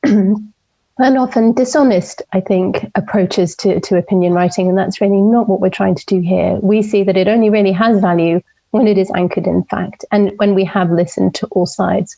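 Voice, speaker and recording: A woman from Portugal in her forties, an opinion columnist answering interview questions in a measured, thoughtful way with frequent ums. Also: mid-range voice, gravelly, dark tone, lo-fi sound. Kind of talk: interview over a call.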